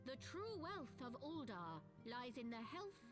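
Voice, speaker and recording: High-voiced, eloquent fantasy noblewoman over music. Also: very animated, unhurried. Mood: resolute, commanding. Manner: formal, eloquent, archaic speech. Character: composed, shrewd noblewoman of a fantasy realm, politically minded. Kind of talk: scripted game cutscene acting.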